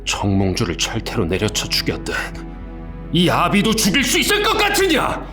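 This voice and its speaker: An actor playing an aging king and father, commanding and quick to anger, in an audio drama. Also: on the high side, wildly swooping pitch, sharp tone, soft background music, heavily acted. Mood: angry. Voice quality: commanding, clipped royal speech.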